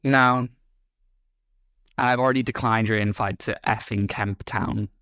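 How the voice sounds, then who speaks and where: A man's voice: on the low side, fairly even intonation, a little slow, bright, forceful tone, clean tone, dry recording, studio quality. A man with an Irish accent, hosting a reaction video with blunt, sarcastic commentary. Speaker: host.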